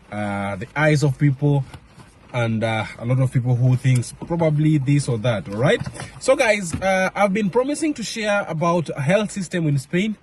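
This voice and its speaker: A young man from Kenya talking casually to camera in a vlog, halting with many fillers and restarts. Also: fairly high pitch, darkish tone, a little hiss or hum. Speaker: vlogger. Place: home in Madrid area.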